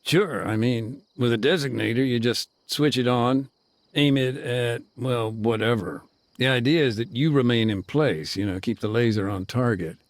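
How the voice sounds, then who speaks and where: A man's voice: medium pitch, no echo, lightly acted. A man playing a weary aid worker. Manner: measured, laconic, hesitant. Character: weary male aid worker recounting events. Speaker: aid worker being interviewed. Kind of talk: game cutscene dialogue.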